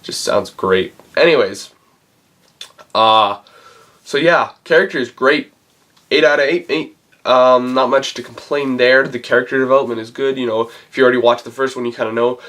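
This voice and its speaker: A man with a cold gives a casual, rambling film review, halting as he searches for words. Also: medium pitch, fairly bright tone. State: has a cold. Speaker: movie reviewer and channel host.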